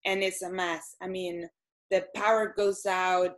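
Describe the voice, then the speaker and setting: A woman, answering interview questions hesitantly with many pauses and ums. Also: medium pitch, little pitch movement. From Venezuela. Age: 30s. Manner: hesitant, frequent ums and restarts. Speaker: guest.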